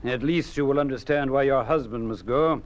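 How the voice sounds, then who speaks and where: An American man playing a cold, smug Communist official in a 1950s radio drama, smooth, condescending and quietly menacing. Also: medium pitch, normal speed, close to an everyday voice. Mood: pompous. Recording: muffled vintage 1950s radio recording.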